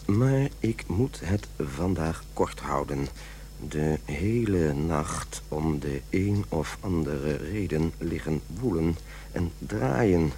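An actor in a radio play playing an irritable, defensive office manager and husband who is quitting smoking. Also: male voice, sits low, a little mellow, exaggerated voice. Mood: slow, exhausted. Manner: blustering, defensive. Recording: faint noise and hum.

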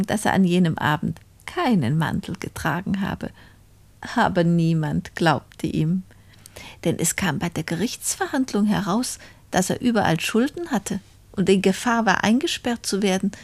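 A sly, lively-voiced spinster murderess. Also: female voice, mid-range voice, vocal fry, dry recording, exaggerated voice. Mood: confiding, knowing. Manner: deliberate, confiding, addressing listeners directly. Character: sly, gloating poor spinster who narrates her own murder. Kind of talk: German radio play monologue, storytelling.

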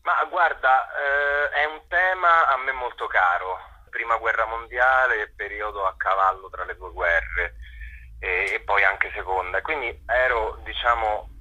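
Muffled, husky low-voiced man being interviewed. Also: pushed and bright. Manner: reflective, halting, often rephrasing mid-sentence. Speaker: theatre director and adapter being interviewed. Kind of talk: spontaneous interview answers. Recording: muffled, lo-fi radio phone-in sound.

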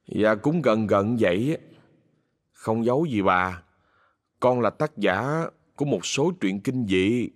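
A man's voice: fairly low pitch, lively intonation, takes it slowly, no echo, studio quality. A man voicing a polite, uneasy young male writer with a southern Vietnamese accent, hesitant, in a horror audio story.

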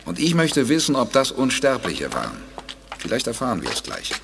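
A man playing a calm, authoritative space commander in a German audio drama, speaking in a composed, deliberate way and courteously probing for answers. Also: mid-range voice, vocal fry, background noise.

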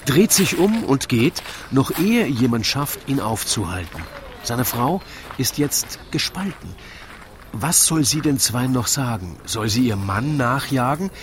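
A man's voice: mid-range voice, expressive, measured pace, background noise, natural voice. A man narrating a rhymed radio drama in a wry, dramatic way.

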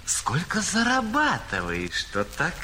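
A caliph disguised as a humble wandering dervish in a storybook recording, courteous and curious. He talks slowly in a bright voice that rises and falls widely. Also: high voice, huge pitch swings, a little hiss or hum, exaggerated voice. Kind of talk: audio fairy tale acting.

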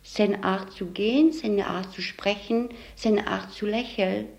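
A woman's voice: medium pitch, fairly even intonation, measured pace, gravelly, a little mellow. A woman, a saleswoman, giving blunt opinions in an interview. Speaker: department store saleswoman.

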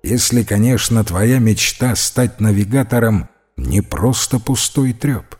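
A man voicing a kindly but gently stern priest who counsels in a calm, encouraging, preachy way. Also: on the low side, measured pace, husky, faint music behind. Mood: firm, encouraging.

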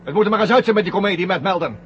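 An actor playing a blunt, suspicious, sardonic astronaut crewmate in a 1970 radio drama. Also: male voice, sits high, racing, lightly acted. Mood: defiant, accusing. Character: Brad, blunt, suspicious astronaut crewmate confronting his commander. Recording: dull, noisy 1970 radio drama recording.

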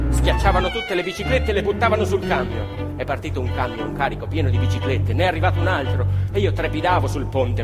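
Actor as animated workingman telling his story. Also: fairly high pitch, natural voice. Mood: anxious, agitated. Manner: dramatic, colloquial, with pauses and exclamations. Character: working-class young man fondly recalling his cherished bicycle, proud, anxious. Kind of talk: theatrical monologue, stage storytelling. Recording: live violin music under the voice. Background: violin and ensemble music.

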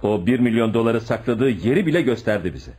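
An actor playing a sensible police commissioner in a radio play, reporting in a conversational, storytelling way. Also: male voice, medium pitch, lively intonation, a little fast, darkish tone, breathy edge. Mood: matter-of-fact, explanatory. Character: sensible, matter-of-fact police commissioner reporting to his chief. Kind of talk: Turkish radio theatre acting.

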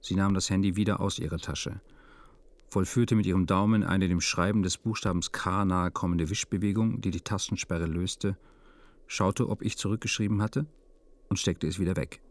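An actor playing a detached male narrator, reading a literary radio drama in a deadpan, meticulous, measured manner. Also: deep voice, no room sound. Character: detached male first-person narrator of a melancholy story.

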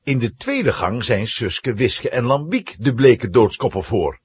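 A man narrating a children's radio play with dramatic, suspenseful, animated storytelling. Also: mid-range voice, unhurried, slightly rough, no room sound.

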